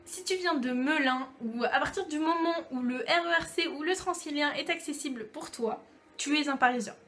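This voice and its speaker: Chatty high-voiced young woman vlogger. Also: normal speed, a bit bright. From France. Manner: chatty, playful, acts out little dialogues. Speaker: YouTube vlogger. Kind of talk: casual comic monologue to camera.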